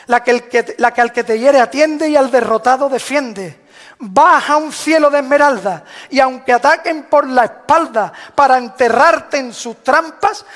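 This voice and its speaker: A man's voice: extremely high pitch, a bit bright. A man solemnly reciting a rhymed devotional proclamation as a Holy Week proclaimer, in a declamatory manner. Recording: long hall reverb.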